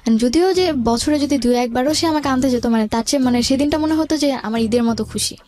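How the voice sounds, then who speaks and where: A young woman, a radio guest, recounting her personal life plainly and haltingly with some English words mixed in. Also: high voice, warm tone, faint music behind. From Bangladesh. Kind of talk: personal life story told in conversation.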